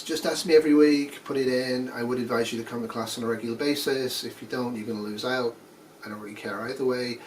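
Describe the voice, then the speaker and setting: A man's voice: mid-range voice, far from the mic, slight background noise, low-quality recording. A man in his fifties, a nursing instructor giving blunt, dry course announcements with sarcastic asides. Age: 50s.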